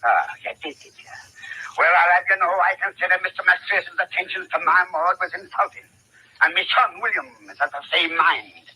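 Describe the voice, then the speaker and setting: Gruff, protective rustic father, old radio drama; hoarse-edged, blustering, very forceful. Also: high-pitched, moderate pace, exaggerated voice, low-quality recording. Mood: indignant. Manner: blustering, rustic uneducated speech. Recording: thin vintage radio recording.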